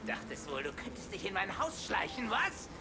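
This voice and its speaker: Sneering German villain with swooping pitch. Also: male voice, very animated. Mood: mocking disbelief. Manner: theatrical, drawn-out, sneering. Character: sadistic male Nazi prison guard, contemptuous and menacing. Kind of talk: video game villain acting. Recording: loud music under the voice.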